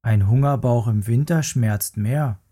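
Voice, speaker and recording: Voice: mid-range voice, unhurried, mellow, close to an everyday voice. A storyteller voicing a sensible mother bear who speaks firmly in a children's story. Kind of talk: storybook character voice.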